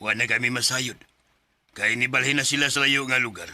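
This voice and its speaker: A man's voice: medium pitch, steady tone, a little fast, bright, forceful tone, no echo. A man acting a firm, sober, protective father in a radio drama. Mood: grave, firm.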